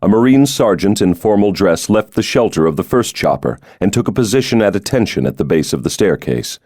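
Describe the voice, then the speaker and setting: A man's voice: lowish voice, animated, a little fast, a little mellow, dry recording, clean studio sound. A man reading a thriller novel aloud as a scripted audiobook narration.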